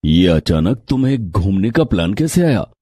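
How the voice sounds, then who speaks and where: A man voicing an earnest young family man in a dramatized storybook tale, with a husky voice. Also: lowish voice, sing-song, normal speed, dark tone, no echo, studio quality. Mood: questioning. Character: young husband and his brother, earnest family men.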